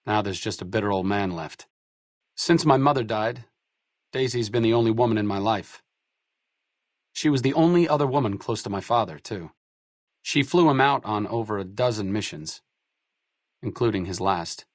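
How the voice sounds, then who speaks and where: A man's voice: lowish voice, normal speed, pauses often, dry recording, studio quality, natural voice. An actor playing a wry, bookish, idealistic male doctor in a post-apocalyptic video game, articulate and dryly witty. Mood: somber, reflective. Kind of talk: video game companion dialogue.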